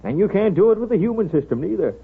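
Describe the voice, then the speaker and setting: An actor playing a wry, folksy small-town doctor in an old radio drama, with an American accent and a drawling, gently scolding manner. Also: male voice, high voice, very animated, fast talker, vocal fry, slightly rough, heavily acted. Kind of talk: 1930s radio drama acting. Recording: muffled, scratchy 1930s radio broadcast.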